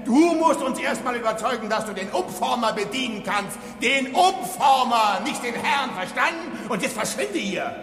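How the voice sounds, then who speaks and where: Hot-headed space engineer, cursing bluster, high voice, radio drama, echoey hall. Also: male voice, animated, normal speed, hardly any pauses, a bit bright, faint music behind. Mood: furious. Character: hot-tempered, sarcastic male space station engineer. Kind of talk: German radio drama acting. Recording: echoey hall with long reverb.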